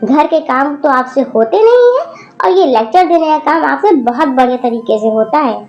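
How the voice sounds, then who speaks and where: A woman's voice: sits high, expressive, moderate pace, a little mellow, faint music behind. A woman voicing a spoiled college-age daughter who snaps and whines rudely at her mother. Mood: snapping. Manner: whiny, snapping, rude.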